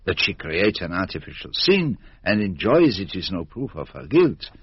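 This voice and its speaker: An actor playing a courteous, shrewd foreign gentleman detective in a radio drama, speaking in a formal, measured, quizzical way. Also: sits low, big pitch swings, husky, no echo, heavily acted. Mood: calm, analytical. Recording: dull, band-limited radio drama recording.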